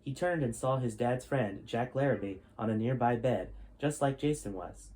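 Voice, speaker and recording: A man's voice: medium pitch, moderate pace, darkish tone, poor audio. A man hosting a storytime, narrating his own book as its author.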